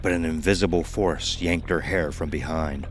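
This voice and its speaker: A man's voice: extremely low pitch, steady tone, normal speed. A man giving scripted narration as the narrator of an audio horror drama. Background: music.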